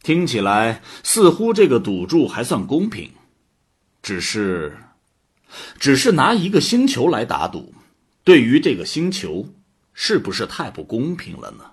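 A man playing a calm, solemn, fair-minded God in a radio drama, speaking in a measured, reasoning way. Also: mid-range voice, slightly rough, exaggerated voice. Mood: doubtful, gentle.

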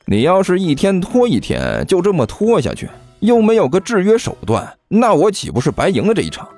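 Man acting a smug, teasing, animated chubby student. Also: sits high, brisk, soft background music, voice pushed up, exaggerated voice. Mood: sneering. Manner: drawling, mock-concerned, jeering. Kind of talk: audiobook character acting.

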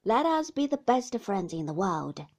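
A woman voicing a gentleman suitor who speaks in a hearty, slow, coaxing way with false joviality. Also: medium pitch, sing-song.

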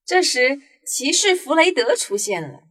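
Expressive high-voiced woman storyteller. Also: a little slow, a little hiss or hum. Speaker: narrator. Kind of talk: children's story narration. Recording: music behind the voice.

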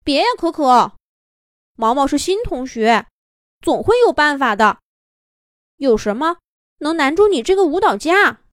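A woman's voice: high voice, expressive, a little slow, pauses often, no room sound, studio quality, pitched above the performer's own voice. A woman voicing a kind, upbeat young boy rabbit in a children's story, talking in a coaxing, encouraging way.

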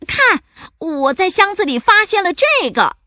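A woman voicing an excitable, eager pirate crew member in a children's story. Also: extremely high pitch, moderate pace, no echo, clean studio sound, pitched above the performer's own voice, exaggerated voice. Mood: excited, shouting.

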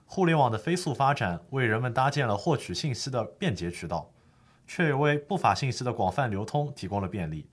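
Guy acting a serious lawyer explaining legal stuff, formal, lots of up and down, bit husky, radio drama style. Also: medium pitch, lively intonation, a little fast. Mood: friendly introduction. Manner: formal, didactic. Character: earnest male lawyer explaining advertising law. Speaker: legal expert host. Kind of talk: scripted legal-education radio drama, reciting statutes.

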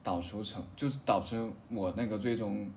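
A man's voice: lowish voice, moderate pace, a little mellow. Man chatting casually, faint background noise. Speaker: podcast co-host. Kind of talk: casual chat, personal storytelling.

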